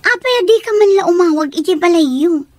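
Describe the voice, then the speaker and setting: A woman's voice: very high-pitched, expressive, a little fast, a little mellow, no echo. An actress with an Ilocano accent playing a caring, frank female friend in a radio drama. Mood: concerned.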